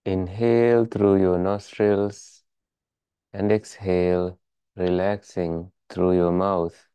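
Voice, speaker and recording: A meditation teacher leading a guided relaxation in calm, measured, soothing instructions. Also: sits low, clean tone, no echo.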